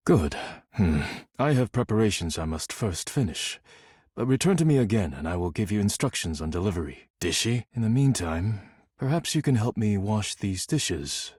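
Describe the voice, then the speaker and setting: A man voicing a stern, proud dishware spirit in a video game, speaking in a formal, clipped, deadpan and disdainful way. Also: lowish voice, moderate pace, slightly rough, dry recording, studio quality. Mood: stern, businesslike. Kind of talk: scripted video game character acting.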